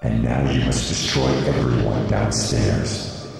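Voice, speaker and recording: An extremely deep, gravelly evil presence for an audio drama. It is paranoid and commanding, menacing in every line, and it booms out of a long cavernous reverb. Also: male voice, steady tone, a little slow, over music, exaggerated voice. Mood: menacing, persuasive. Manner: commanding, sinister. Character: menacing paranoid voice of an entity inside a boy's head.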